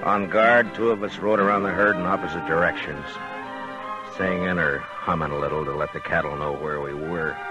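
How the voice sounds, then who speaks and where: An American actor playing a weathered, wary frontier lawman in a 1950s western radio drama, laconic, calm and understated. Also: low voice, moderate pace, loud music behind the voice, lightly acted. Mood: reflective. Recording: muffled vintage radio recording.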